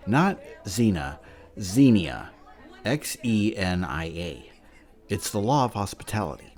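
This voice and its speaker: Male actor as patient scholar type, deep register, measured teaching delivery, audio drama. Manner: didactic, measured explaining. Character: learned man patiently explaining old myths and customs.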